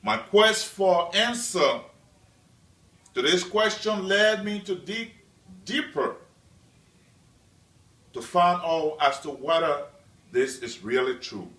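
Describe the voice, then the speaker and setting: Student speaker from Liberia, man, fairly high voice, unhurried prepared speech, heard off-mic. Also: lively intonation, bright, forceful tone. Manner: slow, measured delivery with deliberate pauses. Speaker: student presenter. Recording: echoey room, mic at a distance.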